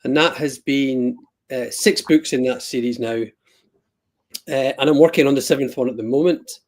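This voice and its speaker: A man's voice: mid-range voice, fairly even intonation, normal speed, darkish tone. A man with a Scottish accent, a guest author chatting casually on a video call, rambling and self-deprecating with lots of ums and restarts. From Scotland. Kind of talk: casual live-stream chat.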